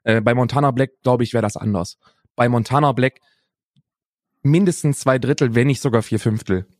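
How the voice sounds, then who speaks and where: A man's voice: medium pitch, normal speed, darkish tone, dry recording. Young German man, dry close podcast sound. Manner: slangy, emphatic, repeats phrases. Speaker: podcast co-host. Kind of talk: casual unscripted podcast banter.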